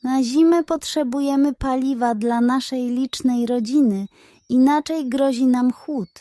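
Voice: high-pitched, unhurried, dark tone, no echo. A woman voicing an anxious, apologetic male porcupine explaining himself in a children's bedtime story. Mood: earnest explaining. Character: sheepish, anxious male porcupine, father of large family.

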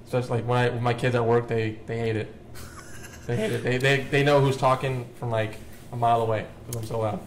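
A young man with a naturally loud, excitable way of talking, his voice strained and cracking after a day of yelling. He rambles through plans and shout-outs at a desk mic in a small office room, with a faint hiss behind him. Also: mid-range voice, brisk. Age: young adult. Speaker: podcast co-host. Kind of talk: casual chat. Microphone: headset with desk mic.

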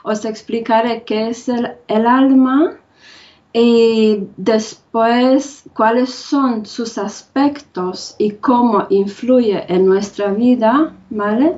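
Home webcam recording, woman slowly lecturing with fillers, a bit high. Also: darkish tone. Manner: slow, halting, many filler sounds. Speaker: conference speaker. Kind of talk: online talk on spirituality. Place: home room with bookshelves. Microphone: video call webcam. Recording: dull lo-fi webcam audio.

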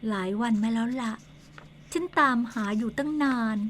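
An actress playing a gentle but insistent young female ghost in a radio ghost drama, speaking softly and teasingly. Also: a little high, moderate pace. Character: soft-spoken young female ghost, coaxing.

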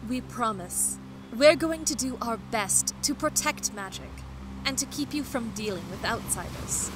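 An actress playing a kind, earnest young female sage in an audio drama, speaking gently. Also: on the high side, animated, a little slow, heavy background noise.

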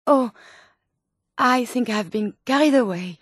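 A woman's voice: a little high, a little slow, slightly rough, no room sound, clean studio sound. An actress voicing a polite, curious female robot scientist from a video game, with a French accent and formal, precise, earnest phrasing. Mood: earnest, musing. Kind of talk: video game companion dialogue.

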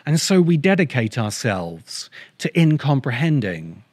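A middle-aged man narrating a scripted video essay in measured, emphatic, deliberate phrases, close to the microphone. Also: medium pitch, sing-song, darkish tone, dry recording. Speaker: host of a science fiction video essay channel. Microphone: large microphone close in front of him.

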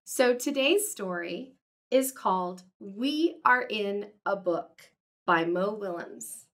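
A woman in her forties hosting a children's storytime, reading slowly and expressively in a home office. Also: medium pitch. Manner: animated, slow and expressive. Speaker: storytime host, educator. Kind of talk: children's read-aloud. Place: home office with bookshelves, indoors.

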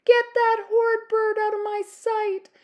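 A spoiled young princess from a children's storybook, put on by a woman. Her high voice is demanding and imperious, breaking into exclamations. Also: flat delivery, measured pace, dull audio. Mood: disgusted. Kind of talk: children's storybook read-aloud.

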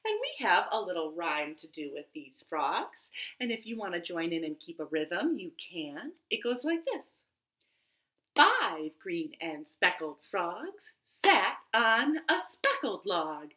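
Animated woman storyteller, bright and lively. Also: on the low side, slow pace. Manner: animated, sing-song delivery for young children. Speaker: children's library storyteller. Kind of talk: children's storytime, rhymes and read-aloud story. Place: living room, indoors. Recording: roomy home webcam sound.

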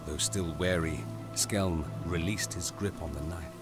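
Low-voiced male dramatic narrator over music. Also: a little slow.

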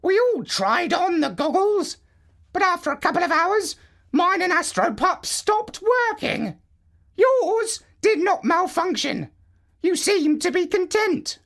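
A fussy, high-pitched storybook parrot , as a storyteller puts him on, lecturing in a prim, know-it-all tone. The voice swoops up and down with wide swings and takes its words slowly. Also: very animated, fairly bright tone, heavily acted. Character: pompous, learned male parrot.

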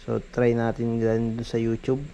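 A man's voice: medium pitch, monotone, a little slow, dark tone, muffled recording. A man with a Filipino accent gives a casual hands-on product demo as a reviewer, informally addressing his viewers. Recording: noisy amateur recording with background ambience.

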